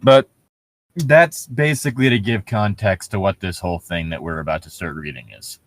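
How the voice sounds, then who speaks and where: A man's voice: low voice, normal speed. A man bantering casually and reading aloud with sarcastic commentary, often breaking into amusement. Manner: wry, sarcastic, laughs often. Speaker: co-host reading and mocking online horror fiction.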